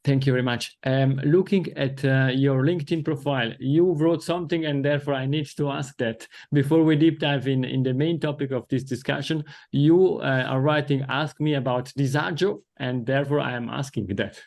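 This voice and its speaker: A man's voice: mid-range voice, fairly even intonation, moderate pace, hardly any pauses, darkish tone. A man with an Italian accent, a native Italian speaker, hosting a podcast interview in careful, formal English. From Italy. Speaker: podcast host.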